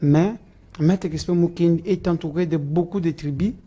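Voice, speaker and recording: A man's voice: fairly high pitch, steady tone, normal speed, warm tone, slightly rough. A man answering interview questions, hesitant and full of "euh" fillers and restarts. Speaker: political supporter interviewed as guest.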